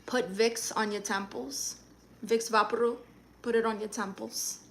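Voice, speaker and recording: A woman's voice: on the high side, fairly even intonation, unhurried, slight background noise. A woman from Brooklyn, chatting casually on a live stream, greeting viewers and firing back sassy, playful comebacks to their comments. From Brooklyn, New York. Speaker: livestreamer.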